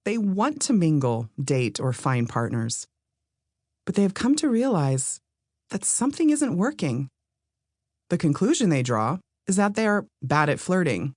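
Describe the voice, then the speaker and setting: A woman author reading her own nonfiction audiobook in a measured, explanatory way, speaking to the listener. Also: fairly low pitch, a little mellow, no echo, clean studio sound. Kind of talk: scripted nonfiction narration.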